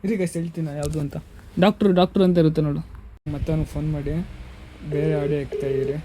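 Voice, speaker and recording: High voiced guy hosting a prank vlog, talks quick, bit of noise. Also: dark tone, low-quality recording. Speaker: prank-call vlog host. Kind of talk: casual vlog chat. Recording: faint background noise.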